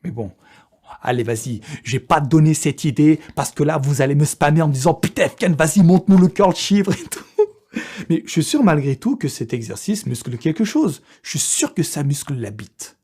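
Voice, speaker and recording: Animated young man with a husky, higher-pitched voice. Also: moderate pace. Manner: joking, crude, prone to breaking into laughter. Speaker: online video creator. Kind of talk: casual, slangy live chat to followers.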